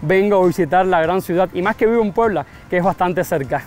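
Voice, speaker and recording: A man with a Cuban accent answers questions at length in a street interview, his voice notably high. He circles back and repeats phrases while thinking aloud. Soft music plays under the sound of the street. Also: a little fast. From Cuba. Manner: talkative, thoughtful, repeats phrases. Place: outdoors on a city street.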